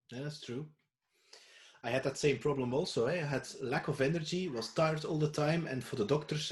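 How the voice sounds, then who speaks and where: A man from Belgium with a Flemish accent, a podcast host speaking in halting English with frequent restarts and questioning tags. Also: mid-range voice. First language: Dutch. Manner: hesitant, halting, tag "eh?" fillers. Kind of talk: conversational interview.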